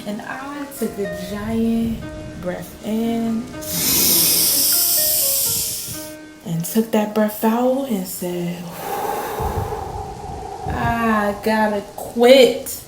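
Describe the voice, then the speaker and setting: A woman's voice: a little high, very slow, loud music behind the voice. A woman playing a storm-shaken fisher in a radio play, recounting a surreal vision at sea in intense, colloquial storytelling. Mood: exhilarated. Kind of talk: dramatic radio play monologue. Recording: roomy, echoing sound.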